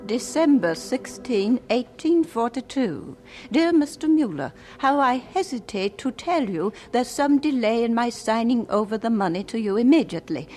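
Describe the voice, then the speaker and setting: A devout, scrupulous widow played by a woman reads her letters earnestly in old radio drama fashion. Her voice sits fairly high and moves expressively up and down, with careful, measured phrasing. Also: lively intonation, a little mellow, faint music behind, natural voice. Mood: apologetic, resolute.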